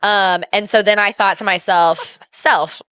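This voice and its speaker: A woman's voice: medium pitch, fairly even intonation, a little slow, bright, forceful tone, clean tone, no room sound. A woman podcast co-host with a nasal voice, congested from a sinus infection, chatting casually and rambling with lots of fillers. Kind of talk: casual chat. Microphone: desk mic on a mic arm.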